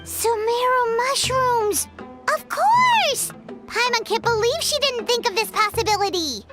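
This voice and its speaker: A woman voicing a small, excitable, indignant floating girl companion in a video game, with a squeaky childlike voice. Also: measured pace, heavily acted. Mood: excited, exasperated. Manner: refers to herself in third person, exclamatory. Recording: loud music underneath.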